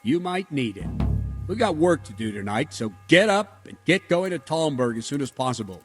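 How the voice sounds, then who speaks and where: A man acting a brisk, kindly lord in a medieval video game. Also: a little high, big pitch swings, soft background music.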